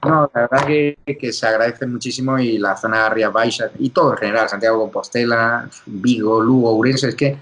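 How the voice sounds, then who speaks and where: A man from Spain hosting a political talk show, emphatic and quick to run through lists. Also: mid-range voice, fairly even intonation, a bit bright, slight background noise. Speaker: show host.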